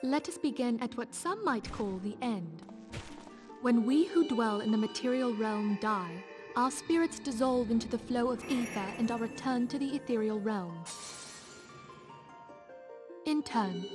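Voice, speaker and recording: Game leader: composed, authoritative, scholarly, lightly high voice, loud music beneath. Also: female voice, animated, a little slow. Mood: measured, explanatory. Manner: formal, explanatory, assured. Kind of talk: theatrical fantasy game dialogue.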